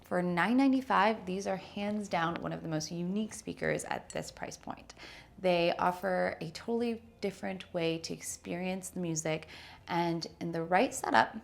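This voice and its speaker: Female reviewer, thirties, lower register with strong creak, conversational and emphatic video presenting. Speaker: product reviewer and showroom presenter. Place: indoor showroom with wood-slat wall.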